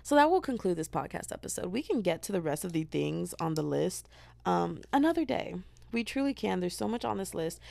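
Young american woman rambling on her podcast, a bit of vocal fry, pretty low, dry close sound. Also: big pitch swings, fairly quick, dark tone, no room sound. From United States. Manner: rambling, self-deprecating, giggly. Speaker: podcast host. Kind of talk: solo podcast chat.

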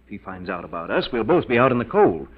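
An actor playing a scheming, skeptical lawyer with a measured, urbane, persuasive way of talking in a 1940s radio mystery. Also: male voice, fairly low pitch, big pitch swings, slightly rough. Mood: hushed, scheming. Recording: dull 1940s radio recording.

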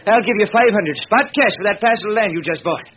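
High-voiced, pushy folksy haggler. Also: male voice, fast talker, husky. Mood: eager. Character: short, stocky, eager, pushy male land buyer. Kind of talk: old-time radio drama acting. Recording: dull old radio recording.

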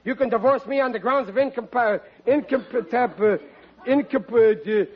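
A man's voice: very high voice, moderate pace, heavy background noise. An American actor playing a blustering, stammering, childish working-class husband and father in a 1940s radio sitcom. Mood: indignant blustering. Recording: dull lo-fi 1940s radio recording.

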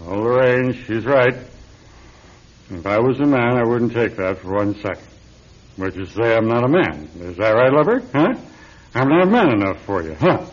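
A man's voice: medium pitch, lively intonation, normal speed, close to an everyday voice. Male actor as sloppy, sarcastic drunk husband, radio play, mid-range voice, dull lo-fi sound. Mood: mocking, wounded. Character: drunken, resentful, belligerent married man. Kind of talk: radio drama acting.

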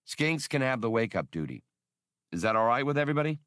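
A man voicing a confident, bossy young smuggler captain in a space adventure audiobook, with a brisk, commanding manner. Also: medium pitch, very animated, a bit bright, clean tone, dry recording, clean studio sound, voice pushed up.

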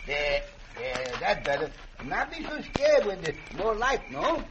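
Kindly simple Mexican cowboy sidekick: highish, animated, stammering broken English, old radio western. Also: male voice, a little slow. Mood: soothing, tender. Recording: muffled, noisy old radio recording.

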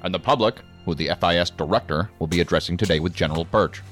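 A commanding middle-aged boss of federal agents with a low voice. He speaks formally and with clipped authority, as in a sci-fi audio drama, with music clearly underneath. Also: quick pace, fairly bright tone. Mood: stern, official. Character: stern, commanding middle-aged male federal agency superior. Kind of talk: science fiction audio drama acting.

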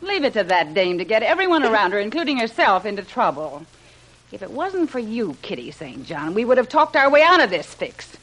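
A woman's voice: fairly high pitch, sing-song, a little fast, a bit bright, rough recording. An actress playing a tough, sardonic, wisecracking woman spy in a slangy, sarcastic style of 1940s radio adventure drama. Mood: irritated, sarcastic.

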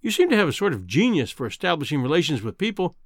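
A man's voice: on the high side, big pitch swings, fast, no room sound, studio quality. Man voicing a kindly old Scottish news editor. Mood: persuasive. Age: older.

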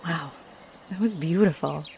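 A woman playing a friendly, flirtatious halfling orc adventurer in a roleplay audio, speaking straight to the listener in a warm, playful, expressive way. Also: sits low, a little slow, creaky, slight background noise. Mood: cheerful, playful.

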